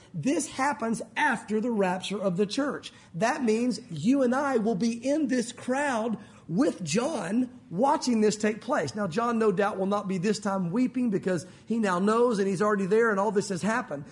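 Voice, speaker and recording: A male pastor with a loud voice, preaching in a conversational, emphatic way. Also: high-pitched. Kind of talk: sermon.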